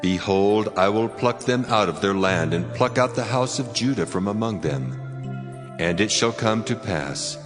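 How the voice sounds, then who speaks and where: An actor playing a stern, majestic God in a dramatized scripture reading, solemn, commanding and deliberate. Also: male voice, low voice. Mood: solemn warning. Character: God, stern, majestic, wrathful male deity. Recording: long cavernous reverb with music behind. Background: music.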